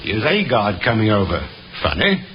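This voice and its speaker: Chummy neighbor in old radio play, clipped, lively, muffled and noisy. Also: male voice, fairly low pitch, moderate pace. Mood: puzzled. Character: observant, hearty male neighbor, a bank clerk. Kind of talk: 1950s radio drama acting.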